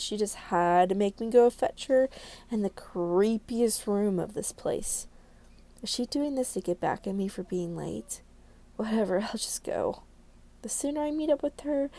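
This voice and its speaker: Mellow woman reading a visual novel aloud. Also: mid-range voice. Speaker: gaming video creator reading a visual novel. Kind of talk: dramatic read-aloud narration with casual asides.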